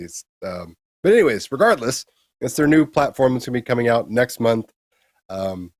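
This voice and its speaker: A man with a fairly low voice co-hosts a casual gaming news show. He explains updates conversationally, and the recording is dry and close. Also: a little mellow, no room sound. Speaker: co-host presenting gaming news.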